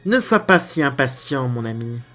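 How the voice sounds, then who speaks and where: A man's voice: mid-range voice, sing-song, moderate pace, fairly bright tone. A man voicing a smug, mocking mad-scientist villain in an amateur French game fan dub. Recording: background music under the voice.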